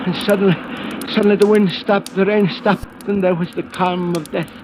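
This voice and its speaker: A man's voice: high-pitched, fairly even intonation, normal speed, background noise, lightly acted. An actor playing a frantic, greedy old man in a 1940s radio drama, overwrought and prone to pleading and stammering. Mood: tense, haunted narration. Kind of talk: 1940s radio drama acting, first-person narration. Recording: old radio recording with music behind.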